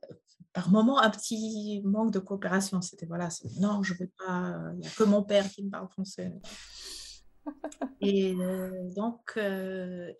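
Husky-voiced middle-aged woman, hesitant. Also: mid-range voice, darkish tone. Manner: thoughtful, hesitant, many fillers. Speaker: interviewee, mother. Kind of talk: casual interview.

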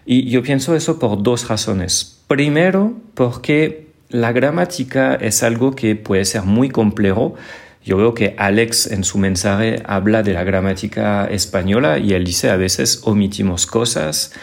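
A man with a fairly low voice speaks Spanish with a strong French accent. He hosts a casual podcast, answering listener questions patiently. He often repeats and restarts small words as he goes. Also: measured pace, gravelly. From France. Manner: friendly, explanatory, many small repetitions and restarts. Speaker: podcast host and French teacher.

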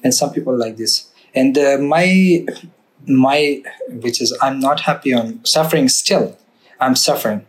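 A young man from Afghanistan speaking halting, non-native English with many fillers and restarts, a guest telling his story in a casual interview. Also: medium pitch, poor audio. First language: not English. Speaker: guest, barber.

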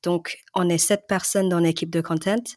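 Low-voiced woman from Hong Kong, podcast guest. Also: steady tone, a little mellow, no room sound, studio quality. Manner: measured, with frequent fillers. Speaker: guest, brand and content director. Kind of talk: interview answers.

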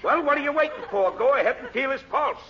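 An actor playing a tough, impatient American gangster henchman in a 1940s radio comedy, gruff and threatening, with a gravelly voice. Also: on the high side, expressive, normal speed. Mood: exasperated. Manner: threatening, slangy, barking orders. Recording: noisy, dull old radio recording.

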